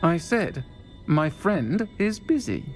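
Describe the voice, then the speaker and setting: An actor, a man, playing a protective, dryly defiant tavern keeper in a video game, speaking in a measured way. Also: on the high side, very animated, faint music behind. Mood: coolly defiant.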